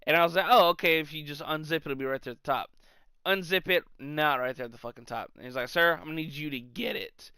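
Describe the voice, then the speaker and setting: Bright-voiced man swapping casual stories. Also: fairly high pitch, fast talker, clear, resonant tone, no echo. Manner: animated retelling, casual swearing. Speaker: podcast co-host. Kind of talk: casual comic anecdote chat.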